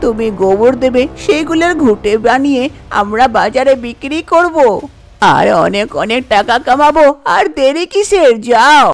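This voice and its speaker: A woman's voice: high voice, lively intonation, moderate pace, no room sound. A woman voicing a scheming middle-aged village mother-in-law in a cartoon tale, coaxing and insistent.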